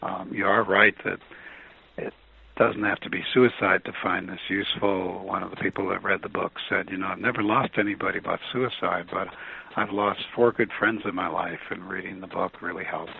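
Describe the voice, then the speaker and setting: A man being interviewed as an author on a radio show, speaking calmly and in a measured way with some fillers and restarts. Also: deep voice, creaky, breathy edge. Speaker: author and guest. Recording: phone line with faint noise.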